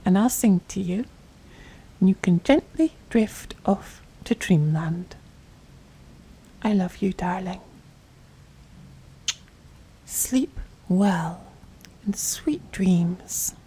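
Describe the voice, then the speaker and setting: A woman playing a tender, doting mother in a soft-spoken ASMR bedtime roleplay, gentle, soothing and affectionate, often dropping to a whisper. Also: mid-range voice, lively intonation, extremely slow, pauses often, breathy edge, full character voice, low-quality recording. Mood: loving, cozy. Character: tender, doting mother soothing her little girl to sleep.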